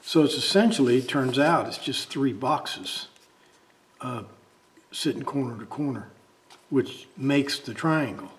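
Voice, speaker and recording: A man talking casually and haltingly, thinking aloud as he demonstrates a drawing. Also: medium pitch, slight background noise. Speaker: amateur artist demonstrating a drawing. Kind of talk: casual talk while drawing.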